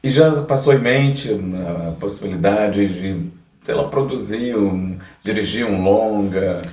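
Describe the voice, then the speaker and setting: Low-voiced Brazilian man, echoey and distant. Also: a little mellow. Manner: halting, feels out questions in fragments. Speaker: podcast host interviewing. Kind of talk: casual interview.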